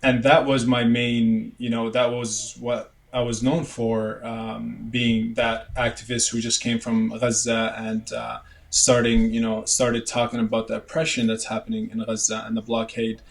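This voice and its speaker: A young man from Canada answering interview questions in a halting way, with many fillers and restarts. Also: medium pitch, completely flat delivery, vocal fry, poor audio. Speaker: guest, medical student.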